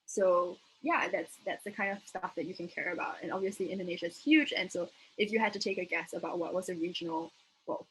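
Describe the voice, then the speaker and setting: A woman's voice: on the low side, a little fast, poor audio. A young woman from Singapore with a Singaporean accent, giving an informal explanatory lecture as a debate trainer, casual and hedging as she thinks aloud. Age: young adult. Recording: noticeable background noise.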